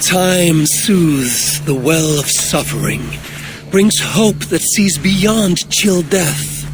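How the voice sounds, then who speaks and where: An actor playing a wry, proud and eloquent Norse god in a dramatic video game cutscene, with theatrical self-assurance. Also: male voice, a little high, expressive, extremely slow, over music, low-quality recording. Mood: solemn.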